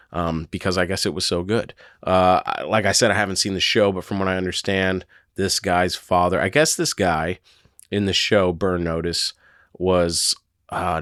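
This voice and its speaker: A man hosting a solo podcast, hoarse from a cold, chatting casually and rambling with lots of fillers and hedges. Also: deep voice, a bit bright, no echo, studio quality. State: hoarse, getting over a cold. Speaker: podcast host.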